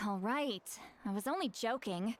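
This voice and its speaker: A woman's voice: fairly high pitch, slow, a little hiss or hum. A woman playing a proud, prickly game shaman who is called granny but seems young, haughty and theatrical. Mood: awkward, explaining. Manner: theatrical, haughty, huffy sighs and throat-clearing. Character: youthful-looking granny shaman, prickly, proud, easily embarrassed.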